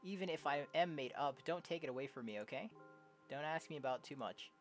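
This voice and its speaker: A young adult game streamer casually reading visual novel dialogue aloud, jokey and prone to loud exclamations. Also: mid-range voice, a little fast. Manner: animated, jokey, exclaims loudly. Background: game music.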